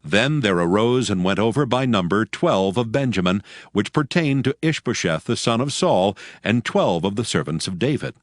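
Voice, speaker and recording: A man narrating a dramatized scripture audiobook with solemn, measured phrasing. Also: on the low side, animated, dry recording, studio quality.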